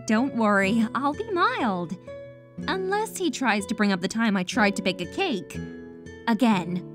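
A woman's voice: a little high, measured pace. A woman playing a teasing, giggly young unicorn student in human form for a fan fiction audio drama. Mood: amused. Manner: animated, amused. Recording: music playing behind voice.

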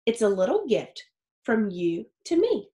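A woman in her thirties reads a children's picture book in a slightly high voice. She phrases deliberately, as a teacher would for young listeners. The small room gives her voice a clear echo. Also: big pitch swings, mellow. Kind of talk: expressive children's read-aloud. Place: small home office or study with wooden shelves. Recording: roomy, echoey indoor sound.

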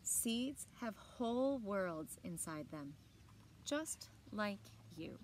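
A woman in her thirties reading aloud to children in an expressive storytelling style, with short asides. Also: mid-range voice, very slow, a little hiss or hum. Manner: expressive, clearly paced reading to young listeners. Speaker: library story time reader. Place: outdoors on a lawn beside evergreen trees. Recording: outdoor ambience with birdsong. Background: birds and outdoor ambience.

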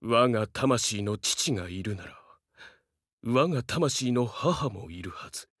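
A man's voice: medium pitch, lively intonation, takes it slowly, fairly bright tone, breathy edge, dry recording, studio quality, heavily acted. A man voicing a young, fiercely loyal dog-man samurai in a Japanese game, speaking earnestly in formal, archaic warrior speech with dramatic delivery. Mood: solemn, wistful.

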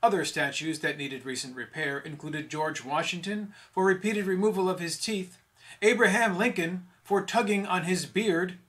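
Man, higher-pitched voice, satirical news anchor, scripted bulletin delivery. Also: normal speed, fairly bright tone.

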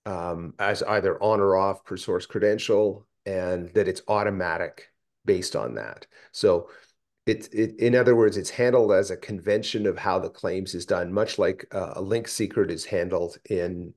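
A man presenting in a technical working group call, explaining in a halting, thinking-aloud way. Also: deep voice, darkish tone. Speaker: meeting presenter. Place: indoor room, video call.

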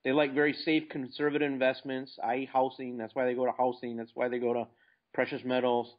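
A man's voice: medium pitch, monotone, fairly quick. An American man talking casually and plainly as the guest in an investing interview. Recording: dull lo-fi internet call.

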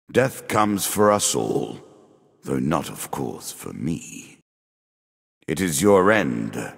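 An ageless, condescending dealer from a fantasy video game, with an extremely low voice. His delivery swings expressively through ominous, theatrical phrases, and a long reverb trails every word. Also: vocal fry, slightly rough, heavily acted. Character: ancient, immortal, sardonic dealer of a deadly card game. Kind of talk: scripted fantasy game character lines.

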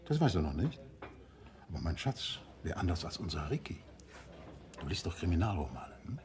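An actor playing a genial, urbane private detective and teasing husband in a German radio play, conversational and playful. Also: male voice, low-pitched, sing-song, moderate pace, a little mellow. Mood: musing. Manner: playful, conversational, hesitating for effect.

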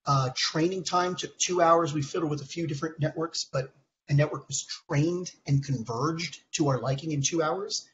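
A man in his thirties, hoarse from a cold, presenting research slides over a video call in a halting way, with many ums and restarts. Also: fairly high pitch, fairly bright tone, a little hiss or hum. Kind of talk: technical conference talk. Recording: dull lo-fi call audio.

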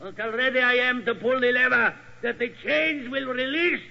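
An actor playing a mad, gloating villain, menacing and savouring each word, in 1940s radio drama. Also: male voice, very high voice, steady tone, normal speed, creaky. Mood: gloating menace. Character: mad, gloating German villain luring victims to their doom. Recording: dull old radio recording.

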